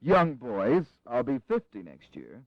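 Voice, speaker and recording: A man's voice: medium pitch, huge pitch swings, no echo, dull audio. An actor playing an earnest, diplomatic delegate in his forties, speaking formally in an audio drama. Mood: good-humoured. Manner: formal, measured wording.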